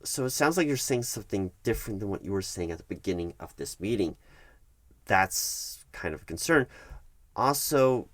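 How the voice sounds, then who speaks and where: A man playing a stern but patient HR manager in an ASMR roleplay, speaking formally and haltingly, repeating words for emphasis. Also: lowish voice, no room sound, close to an everyday voice. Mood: measured, concerned. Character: stern, patient male HR manager reprimanding an employee. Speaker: recruiting HR manager. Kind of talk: one-sided ASMR roleplay of a work meeting.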